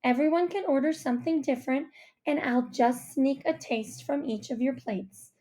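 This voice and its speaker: A woman's voice: high-pitched, normal speed, dark tone, pitched above the performer's own voice, natural voice. A woman narrator voicing a proud, cautioning mother who explains things carefully in an audiobook. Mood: proud, explaining. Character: proud, cautioning mom, a food critic. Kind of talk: audiobook character voice.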